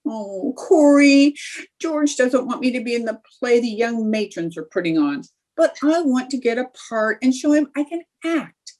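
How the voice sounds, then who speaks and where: A woman playing an eager, scheming, chatty young housewife in an old-time radio comedy, with a husky voice. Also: on the high side, animated, normal speed, darkish tone, close to an everyday voice. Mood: eager, conspiratorial. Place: indoors, remote video call.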